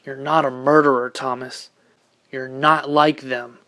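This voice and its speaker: A man playing a loyal, defiant young rebel in an audio drama, with a forceful, challenging delivery. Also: mid-range voice, level tone, measured pace.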